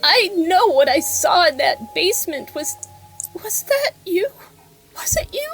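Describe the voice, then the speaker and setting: A woman's voice: extremely high pitch, big pitch swings, unhurried, a bit bright, faint music behind, exaggerated voice. A woman playing a frightened, grieving widow in an audio horror drama, hesitant and pleading, repeating herself. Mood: wary, demanding.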